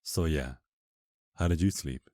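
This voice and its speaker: Very deep, slow, flirty vampire husband played by a man. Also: dark tone, dry recording, clean studio sound. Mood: flirty, sensual. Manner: tender, flirty, slow and murmured. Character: affectionate, teasing male vampire husband. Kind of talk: intimate ASMR romance roleplay.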